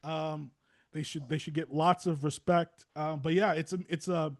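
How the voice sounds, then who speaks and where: A man's voice: on the high side, steady tone, normal speed. An American man hosting a casual interview, rambling along and repeating phrases for emphasis. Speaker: podcast host.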